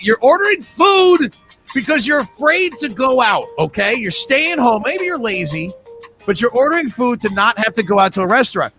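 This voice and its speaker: A man's voice: high voice, sharp tone. A man hosting a live trivia game, joking with players and rambling through sponsor reads.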